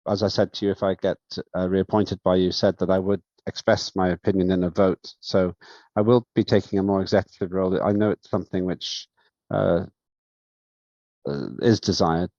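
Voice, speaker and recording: Low-voiced man chairing a parliament. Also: a little mellow, dry recording. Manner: measured, halting with many fillers. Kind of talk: formal remarks at an online session. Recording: dull, dry lo-fi sound.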